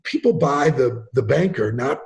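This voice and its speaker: Gravelly, animated male podcast guest. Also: medium pitch, moderate pace. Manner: animated, emphatic storyteller who acts out quotes. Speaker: podcast guest, sales trainer. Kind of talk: casual interview chat.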